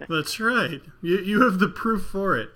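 A man's voice: high-pitched, expressive, unhurried, sharp tone. A man hosting an interview, asking eager, enthusiastic questions. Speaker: interview host. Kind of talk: casual interview chat.

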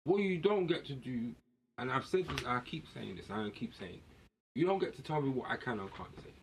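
A man's voice: mid-range voice, expressive, fairly quick, slight background noise, poor audio. A man hosting a podcast, arguing in a blunt, slangy, casual way. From West Indian heritage. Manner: outspoken, argumentative, slangy. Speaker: podcast host. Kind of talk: casual heated discussion.

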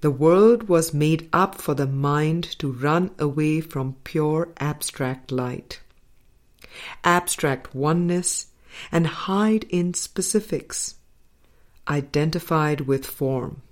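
A low-voiced woman reads a spiritual audiobook at an unhurried pace. Her phrasing is deliberate and measured, with frequent pauses between short phrases. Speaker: audiobook reader. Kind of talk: scripted spiritual audiobook narration.

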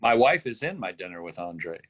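A man's voice: low-pitched, big pitch swings, moderate pace, a bit bright. A man speaking casually in a group discussion, as an actor from a script reading.

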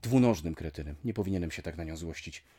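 A man's voice: deep voice, lively intonation, fast talker, heavy background noise. A man playing a lonely, irritable husband who grumbles sardonically to himself, in a radio play. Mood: rueful. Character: lonely, irritable husband missing his absent wife. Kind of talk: Polish radio play acting, dialogue and monologue. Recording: music under the voice.

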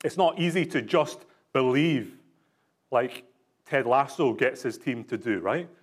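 A man's voice: mid-range voice, animated, unhurried. Man, Scottish accent, pastor, sermon, rhetorical questions, easy chatty delivery. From Scotland. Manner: conversational, with many fillers and rhetorical questions.

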